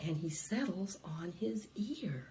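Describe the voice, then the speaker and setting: A woman's voice: lowish voice, expressive, slow pace, dark tone, slight background noise. A woman hosting a preschool storytime, reading a picture book aloud in a sing-song, dramatic way and asking the children questions. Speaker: library storytime host. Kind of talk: animated preschool read-aloud with singing.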